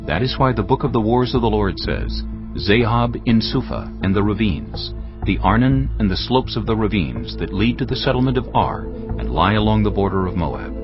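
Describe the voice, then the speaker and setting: A man reads scripted scripture narration in a very deep voice. He is solemn and measured yet widely inflected, with music clearly behind him. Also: very animated, dull audio. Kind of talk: scripted audio Bible narration.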